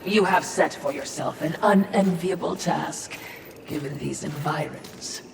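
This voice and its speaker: A woman's voice: low-pitched, a little slow, gravelly, background noise. An actress playing a sly, servile female spirit attendant in a video game, formal and insinuating in manner. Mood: sly, knowing. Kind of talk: video game cutscene acting. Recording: echoing, distant-sounding voice over music.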